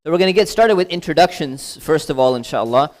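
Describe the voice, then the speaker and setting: A man's voice: on the high side, animated, fast talker. A man moderating a community panel discussion, conversational and probing, sprinkling his speech with Arabic blessings.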